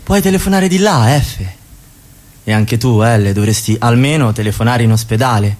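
Commanding, mid-pitched party boss played by a man. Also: moderate pace, a little mellow, lightly acted. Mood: curt, impatient. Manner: authoritative, curtly instructing. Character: commanding male party leader, falsely genial, impatient. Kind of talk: theatrical radio drama dialogue.

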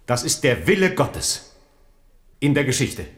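An actor playing an earnest, loyal Protestant envoy in a 1970s German radio play, with solemn declamation. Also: male voice, medium pitch, big pitch swings, measured pace. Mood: fervent.

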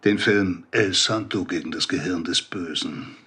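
Slow, forceful, menacing government interrogator. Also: male voice, low voice, breathy edge, full character voice. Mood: cool menace. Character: menacing male government agent, coolly insistent interrogator. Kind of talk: German radio play acting.

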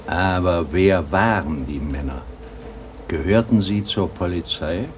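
An actor playing a weary, wistful old grandfather in a radio drama, with a husky voice and a brooding, halting delivery. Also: low-pitched, a little hiss or hum.

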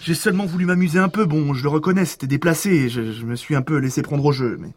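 An actor playing a flustered, chatty eccentric suspect in a French audio drama, stammering and rambling with a French accent. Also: male voice, on the high side, quick pace, a little mellow, close to an everyday voice. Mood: giggly apology.

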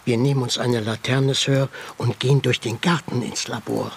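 A man's voice: medium pitch, fairly even intonation, normal speed, creaky, breathy edge. A man acting in a radio drama as a loyal, long-serving butler, anxious and deferential. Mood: hushed, tense. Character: loyal, worried long-serving male butler, middle-aged or older.

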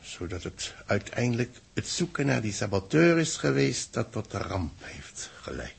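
An actor playing a grave space-mission official in a 1960s radio play, speaking solemnly and deliberately in a husky, gravelly voice. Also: male voice, mid-range voice, sing-song, full character voice. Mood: grave, resigned.

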